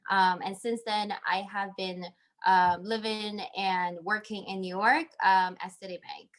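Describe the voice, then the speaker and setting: A woman's voice: mid-range voice, fairly even intonation, unhurried, fairly bright tone. A woman in her thirties from Taiwan, giving conversational advice as an interview guest on a video call. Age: 30s. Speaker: interview guest, MBA graduate. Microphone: video call microphone.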